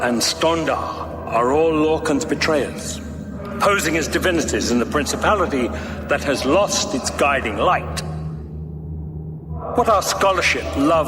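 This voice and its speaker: A zealous cult leader from a fantasy game, grandiose and pompous. He preaches dark prophecy slowly, in a fairly high male voice. Long reverb surrounds every measured phrase. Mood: preaching, scornful. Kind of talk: theatrical fantasy game monologue. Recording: echoey hall reverb, music behind.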